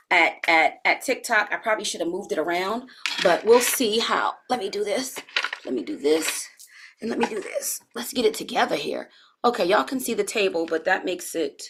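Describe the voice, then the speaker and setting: A woman in her forties giving a live-streamed health coaching talk, chatty and relaxed, speaking straight to her viewers and using casual slang. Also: mid-range voice. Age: 40s.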